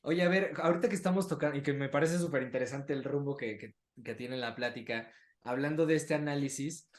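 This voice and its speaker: A man with a Mexican accent hosting a casual interview, animated and acting out other people's remarks as he talks. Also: medium pitch, brisk, echoey room. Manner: animated, mimics what people say in quotes. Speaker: podcast host and interviewer.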